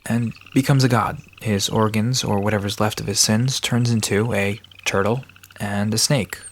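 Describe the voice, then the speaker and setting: A man's voice: on the low side, steady tone, moderate pace, a little hiss or hum, lightly acted. A man playing an aloof park caretaker in an audio drama, explaining things in a dry, matter-of-fact, guarded way. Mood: dry, explanatory.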